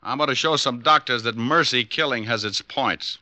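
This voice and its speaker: A man playing a suave, wisecracking hard-boiled private detective in radio drama style, with a sardonic, clipped, quick-witted delivery. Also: medium pitch, bright, forceful tone, no echo. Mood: sardonic, brisk. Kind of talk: 1940s-50s radio drama acting.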